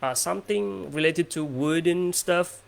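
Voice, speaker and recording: A man in his twenties from Indonesia, hosting English speaking practice in a relaxed tone with lots of fillers. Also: fairly high pitch, measured pace, creaky. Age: 20s. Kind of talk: casual conversation practice.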